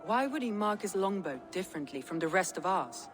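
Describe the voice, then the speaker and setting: An actor playing a skeptical, measured Viking leader in a video game cutscene. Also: male voice, sits high, sing-song, fairly bright tone. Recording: music clearly behind.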